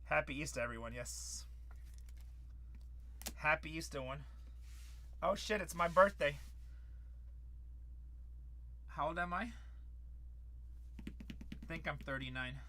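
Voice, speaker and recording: A man's voice: fairly high pitch, slow, pauses often, bright, forceful tone. A man in his forties hosting a live card-break stream, calling out cards and bantering with chat. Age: 40s.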